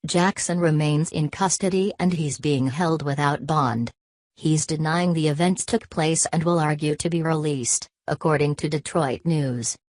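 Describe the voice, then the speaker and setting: A woman's voice: low voice, a little slow, a little mellow, no room sound. A woman narrating a scripted news report, reading stiffly word for word.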